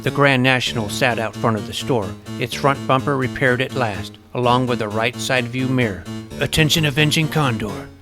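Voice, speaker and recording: A man's voice: medium pitch, normal speed, natural voice. An actor narrating an audio drama in the first person as a plucky, self-assured boy detective recounting his adventures. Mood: cocky. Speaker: narrator and lead character. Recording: loud dramatic music under narration.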